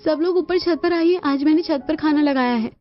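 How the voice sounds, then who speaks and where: A woman's voice: sits high, a little fast, voice pushed up, lightly acted. A woman voicing an earnest, caring young daughter-in-law in a cartoon family story. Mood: cheerful. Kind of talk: Hindi cartoon story acting. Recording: muffled lo-fi sound with music bed.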